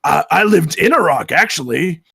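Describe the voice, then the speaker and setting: A man's voice: a little high, lively intonation, moderate pace, vocal fry, fairly bright tone, breathy edge, no room sound, pitched above the performer's own voice, heavily acted. A man playing an indignant but sweet, blunt Bigfoot at a screenplay table read. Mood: easygoing. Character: indignant, sweet Bigfoot, a big wild male sasquatch.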